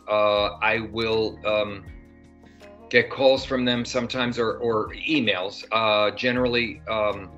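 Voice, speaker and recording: A man's voice: on the low side, bright, forceful tone. An older man, an actor guest, talking in a casual interview, rambling with many fillers and restarts. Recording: dull lo-fi sound with faint music.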